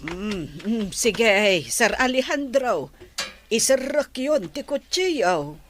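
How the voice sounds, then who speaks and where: A woman's voice: fairly high pitch, lively intonation, takes it slowly, slight background noise. A woman playing a kindly, dutiful elderly household helper in a radio drama, speaking respectfully. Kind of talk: Ilocano radio drama acting.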